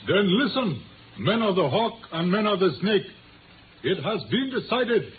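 A solemn older Chinese tong leader with slow, formal delivery and a slightly raised pitch. He speaks gravely in a 1950s radio play, through a muffled, noisy old recording. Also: sing-song. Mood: solemn, commanding. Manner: formal, grave, measured phrasing. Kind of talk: 1950s radio drama acting.

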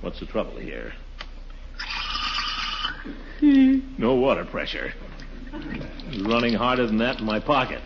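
A man's voice: medium pitch, wildly swooping pitch, takes it slowly, gravelly, pauses often, highs cut off, exaggerated voice. An old, noisy radio recording of a pompous middle-aged uncle in a comedy. His voice swings wildly up and down as he mutters to himself and blusters with theatrical exclamations. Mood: grumbling. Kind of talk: 1940s radio comedy acting. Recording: noisy, band-limited 1940s radio recording.

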